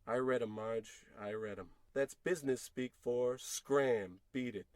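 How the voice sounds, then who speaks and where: A man's voice: mid-range voice, unhurried, darkish tone, no room sound, close to an everyday voice. A man playing a frustrated, self-pitying unemployed husband in an old-time radio comedy, wordy, sarcastic and theatrical. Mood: bitter, dejected. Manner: wordy, indignant, sarcastic rambling.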